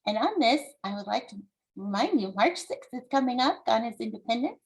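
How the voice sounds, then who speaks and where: A woman with a fairly high voice presents as a children's author. She moves between reading aloud and explaining, speaking deliberately and with an encouraging, teacherly manner to a young audience. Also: very animated, poor audio. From United States.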